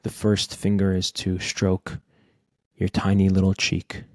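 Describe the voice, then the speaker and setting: A man leading a guided meditation in a gentle, unhurried manner, speaking in short phrases with long pauses. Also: sits low, monotone, no echo, clean studio sound. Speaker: podcast host guiding a meditation.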